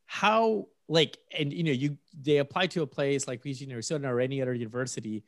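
A man's voice: medium pitch, quick pace, no echo, studio quality. A man from Peru hosting a podcast, asking long hedged questions with many fillers. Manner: hedging, many "like" fillers and restarts. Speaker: podcast host. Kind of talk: interview questions.

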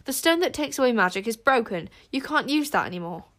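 A woman's voice: fairly high pitch, expressive, quick pace, a bit bright, pitched above the performer's own voice. A woman voicing a nervous boy companion in a storybook, asking in anxious disbelief.

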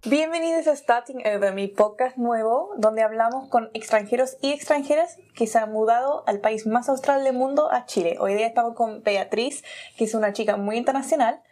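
Woman, podcast host, curious questions, higher voice, mellow tone. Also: moderate pace, faint music behind. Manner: curious, asks short follow-up questions. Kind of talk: interview questions and show intro.

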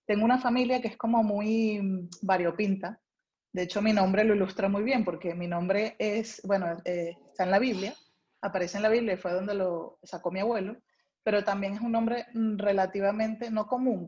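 A woman's voice: medium pitch, fairly even intonation, moderate pace, darkish tone, dull audio. A young adult woman from Venezuela with a Venezuelan Spanish accent, chatting as a guest in an interview about her life. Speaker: guest, food writer. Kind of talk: casual interview chat.

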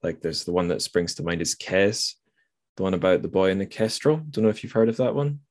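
A man's voice: low voice, expressive, fairly quick, a little mellow. A man hosting a podcast, talking casually about literature in a rambling, musing way as he thinks aloud. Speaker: podcast host.

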